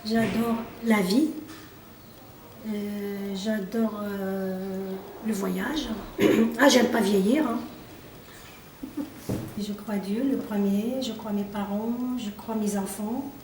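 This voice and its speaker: A woman, a service worker and mother, speaking simply and heartfelt with frequent pauses. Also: medium pitch, steady tone, darkish tone. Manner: simple, heartfelt, pausing often. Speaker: cleaning staff. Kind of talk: personal testimony. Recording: roomy distant mic with noise.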